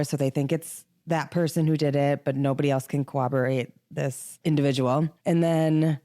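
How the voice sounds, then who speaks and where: A woman with a low-pitched voice co-hosts a book podcast. She talks casually and chattily, in loose, informal phrasing, as in a relaxed conversation. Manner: chatty, informal, frequent "like" as filler. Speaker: podcast co-host.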